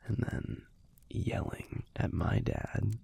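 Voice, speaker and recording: A man playing an affectionate young alpha werewolf boyfriend in an intimate audio roleplay, talking softly and casually in a breathy, gravelly near-whisper. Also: close to an everyday voice. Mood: amused, reminiscing. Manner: soft, unhurried, casual, salty-tongued.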